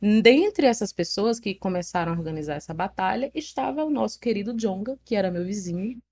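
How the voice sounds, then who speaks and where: A young woman with a Northeastern Brazilian accent, telling her story in a casual interview in an animated, frank way, laughing at herself. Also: mid-range voice, a little fast, breathy edge. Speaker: poet, interview guest.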